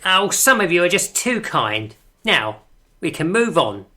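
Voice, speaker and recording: A woman's voice: on the low side, sing-song, measured pace, sharp tone, full character voice. A woman playing the self-regarding leader of a poetry circle in a radio drama, with a pompous, arch manner. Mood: grand, coy.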